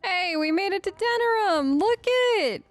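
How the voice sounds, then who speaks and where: Woman let's player, high pitch, casual chatty commentary, hesitant, in-character, close dry mic. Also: animated, measured pace, no echo. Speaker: let's play host. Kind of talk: casual role-play game commentary.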